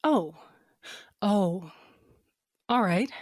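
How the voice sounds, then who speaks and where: A woman's voice: medium pitch, lively intonation, extremely slow, no echo, studio quality. A woman playing a caring, earnest mother in a fantasy audio drama, with a natural conversational delivery. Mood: surprised, curious. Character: worried, caring mother held in a fantasy jail.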